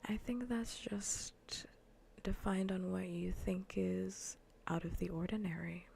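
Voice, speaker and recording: Gravelly, half-whispered woman streamer. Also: lowish voice, fairly even intonation, slow pace, mellow, slight background noise. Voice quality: breathy, often whispering, gravelly fry. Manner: casual, rambling, repeats phrases. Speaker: livestreamer. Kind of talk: soft ASMR gaming livestream chat. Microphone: close ASMR microphone.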